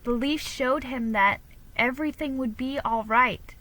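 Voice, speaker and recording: A woman's voice: sits high, measured pace, a bit bright, pitched above the performer's own voice. A woman voicing an earnest, protective young girl, a hopeful big sister in a storybook. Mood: reassuring.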